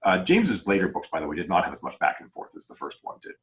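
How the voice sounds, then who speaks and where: A man's voice: low-pitched, huge pitch swings, quick pace. A man chatting casually as a podcast host and publisher, earnest and rambling in unscripted talk. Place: remote group video call.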